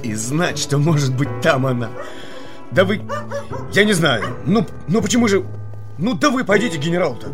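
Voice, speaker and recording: Man playing a high-pitched hot-tempered young man. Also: big pitch swings, moderate pace. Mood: agitated, urging. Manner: brusque, blustering, repeats himself. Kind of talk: comic literary audio drama. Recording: music under the voice.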